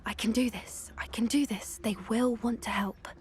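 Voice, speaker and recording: A woman's voice: fairly high pitch, fairly even intonation, moderate pace, natural voice. An actress playing an earnest, anxious young witch in a video game, polite and hesitant, with music behind. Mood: nervous. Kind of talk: video game cutscene acting.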